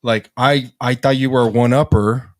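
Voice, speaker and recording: A man talking casually as a podcast guest, slangy, with halting, informal phrasing. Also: medium pitch, fairly even intonation, no echo. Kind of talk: casual chat.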